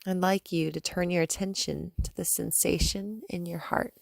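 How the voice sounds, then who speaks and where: A woman guiding a meditation as a yoga teacher, speaking in a calm, soothing manner with gentle instructions and long pauses. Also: lowish voice, creaky, no echo. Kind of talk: guided meditation.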